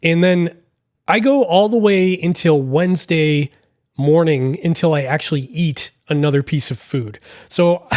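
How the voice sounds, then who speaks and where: Hoarse, rambling podcast host. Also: male voice, on the high side, darkish tone, no echo, studio quality. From Massachusetts, United States. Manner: rambling, self-deprecating, apologetic. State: scratchy voice from illness. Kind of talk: casual solo podcast monologue. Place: hotel room.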